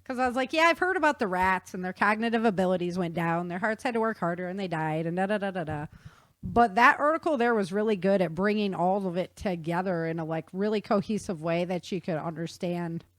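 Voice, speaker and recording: A woman's voice: on the low side, fairly quick, no room sound. A woman hosting a podcast, explaining things in an informal, folksy and enthusiastic way as casual chat. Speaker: podcast host.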